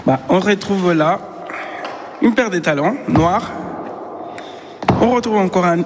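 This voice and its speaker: Cheerful male dance teacher, high voice, hall echo and loud music behind. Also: takes it slowly, noisy background. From Venezuela. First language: Spanish. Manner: cheerful, laughs often, counts beats out loud. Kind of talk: casual interview and dance lesson. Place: dance studio with parquet floor. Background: dance music playing.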